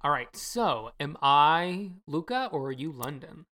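Woman, low voice, casual opinionated podcast co-host. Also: a little slow. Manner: relaxed, opinionated, many fillers. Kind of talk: casual chat.

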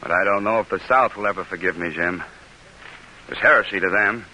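A man's voice: low voice, brisk, sharp tone. Through a noisy, hissing old radio recording comes a confident male senator of the 1800s. He speaks formally and emphatically, a seasoned political orator in a classic radio drama. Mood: weary, relieved. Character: confident 19th-century American senator, forceful debater and orator.

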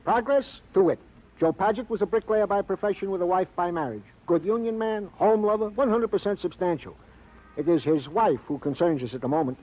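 An actor playing a comic, long-winded police sergeant in a 1950s radio drama, rambling along in mock-formal phrases. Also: male voice, sits high, a little fast. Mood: mock-official. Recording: dull old radio recording.

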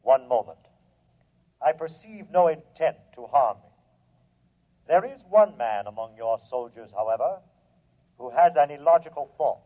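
A man playing an imperious telepathic alien robot in an old-time radio drama, speaking formally and deliberately. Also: mid-range voice, expressive, lightly acted. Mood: cold, imperious. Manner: formal, measured, deliberate speech. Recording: dull vintage radio recording.

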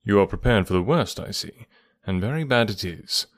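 A grave, determined gentleman of a period novel, as a male reader plays him. His voice is fairly low, with formal, measured phrasing and gentle teasing. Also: lively intonation, dry recording, studio quality, natural voice. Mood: resigned. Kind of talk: audiobook character dialogue.

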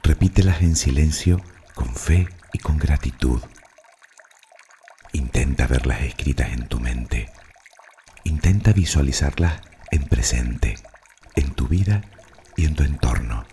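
A man leading a guided meditation in Spanish affirmations, speaking calmly and slowly with soothing pauses over soft music. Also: very deep voice, slight background noise. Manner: calm, slow, soothing delivery with long pauses.

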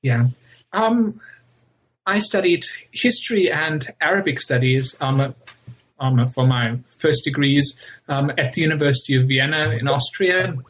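A man from Germany in his thirties, a guest author in an interview, speaking in a measured way with many "um"s. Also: a little high, a bit bright.

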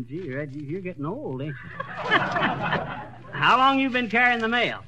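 An actor playing a bumbling, boastful small-town husband in a 1930s radio comedy, with a folksy drawl and sputtering excuses. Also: male voice, high-pitched, lively intonation, unhurried, very noisy. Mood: sheepish. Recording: dull old radio broadcast recording.

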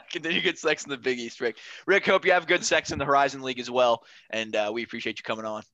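A man hosting a casual podcast, throwing quick jokes and quips. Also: mid-range voice, pushed and bright, dry recording, lo-fi sound. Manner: joking, quick quips, laughs often. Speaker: podcast host.

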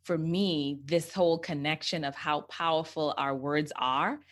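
Lower-pitched woman host, effusive and affirming. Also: steady tone, a little slow, a bit bright. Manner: affirming, effusive, repeats phrases for emphasis. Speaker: interview host. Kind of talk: conversational interview.

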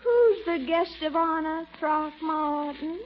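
A woman's voice: high-pitched, takes it slowly, a little hiss or hum. A haughty coy lady. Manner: coy, prim, cutting. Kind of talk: 1940s radio acting. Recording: muffled vintage radio broadcast.

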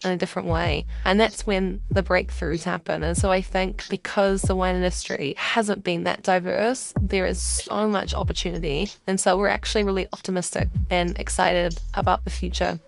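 Gravelly-voiced woman, flat earnest delivery. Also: on the low side, moderate pace, hardly any pauses, no echo, soft background music. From Central Coast of California. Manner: earnest, upbeat storytelling with light laughs. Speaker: wine company co-founder. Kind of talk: documentary interview.

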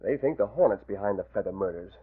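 An actor playing a cold, scheming crime boss in a 1940s radio drama, heard through an old muffled recording. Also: male voice, on the low side, expressive, fast, breathy edge. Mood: calculating.

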